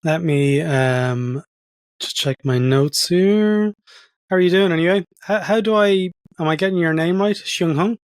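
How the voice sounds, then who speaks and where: Male podcast host, slightly higher-pitched voice, playful and easygoing. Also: a little slow, smooth, no echo, studio quality. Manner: jokey, playful asides. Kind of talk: scripted intro then casual interview chat.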